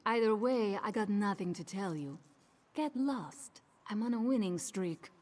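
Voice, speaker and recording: A woman's voice: medium pitch, animated, measured pace, a little mellow. A woman voicing a rude, brusque dice gambler in a fantasy game tavern. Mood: irritated, dismissive. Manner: brusque, dismissive. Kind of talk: fantasy video game character acting.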